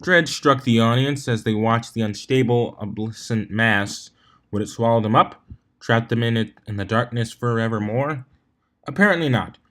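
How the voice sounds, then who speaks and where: A man's voice: mid-range voice, moderate pace, fairly bright tone. Man narrating a story, stumbling now and then. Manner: stumbles over words and rereads them. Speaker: fan fiction reader, narrator.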